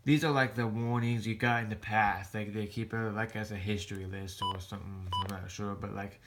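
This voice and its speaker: An American man giving a casual show-and-tell in a halting, rambling, plain-spoken way. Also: fairly low pitch, steady tone, background noise. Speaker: hobbyist vlogger showing a radio collection.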